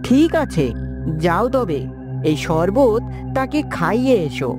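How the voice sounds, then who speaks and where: Cartoon palace minister played by a woman: sly schemer, measured delivery with dramatic swoops, over prominent music. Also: mid-range voice, big pitch swings. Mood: sly, calculating. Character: scheming royal minister, cold and sly plotter. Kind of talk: animated fairy-tale cartoon acting.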